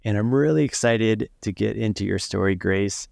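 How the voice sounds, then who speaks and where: A man's voice: fairly low pitch, normal speed, gravelly, a little mellow, no echo, studio quality. A man hosting a podcast, upbeat and affirming as he welcomes and questions his guest. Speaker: podcast host.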